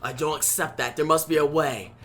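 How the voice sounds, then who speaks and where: A man's voice: a little high, fairly even intonation, brisk, fairly bright tone, lightly acted. A man voicing a fierce, defiant ancient antihero from a comic book, with dramatic declarations. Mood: desperate, defiant. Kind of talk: comic book story reenactment.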